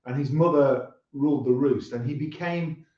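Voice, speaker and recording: A man's voice: a little high, normal speed. A man in his fifties chatting casually as an interview guest, earnest and explanatory. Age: 50s. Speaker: singer-songwriter and interview guest. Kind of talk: casual chat. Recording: roomy, distant, dull lo-fi sound.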